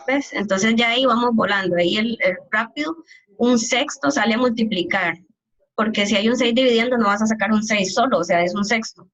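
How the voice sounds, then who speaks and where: A woman's voice: on the high side, brisk, fairly bright tone, rough recording. A woman math teacher giving an online calculus class, explaining step by step and often checking that her students follow.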